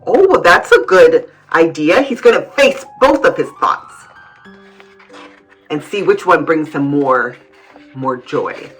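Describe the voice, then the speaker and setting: A woman reading a picture book aloud to young children, adding gentle, encouraging comments and addressing them as friends. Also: low voice, big pitch swings, slow pace, breathy edge, soft background music, rough recording. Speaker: children's read-aloud host.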